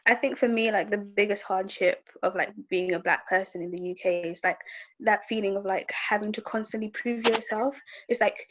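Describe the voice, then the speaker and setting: A woman's voice: mid-range voice, steady tone, moderate pace, fairly bright tone. A woman, speaking casually in a group discussion over a dull video call. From raised in London, from Essex.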